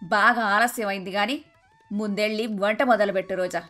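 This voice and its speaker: A woman playing a traditional, domineering and sharp-tongued older mother-in-law in a cartoon moral story. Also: mid-range voice, steady tone, normal speed, lightly acted. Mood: stern, scolding. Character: bossy, traditional, scolding older mother-in-law and household matriarch. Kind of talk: dramatised moral story dialogue. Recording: music behind the voice.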